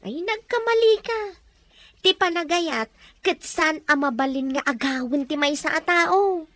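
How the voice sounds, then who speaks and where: A woman with an Ilocano accent playing a caring mother who speaks gently to her daughter and chides her firmly. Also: sits high, measured pace, dry recording. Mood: chiding. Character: caring mother of a soon-to-be bride.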